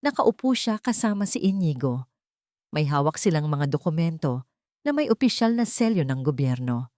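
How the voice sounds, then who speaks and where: A woman's voice: low-pitched, big pitch swings, normal speed, darkish tone, no echo. Woman narrating sentimentally, dry close sound. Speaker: storyteller narrator. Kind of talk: scripted dramatic audio story narration.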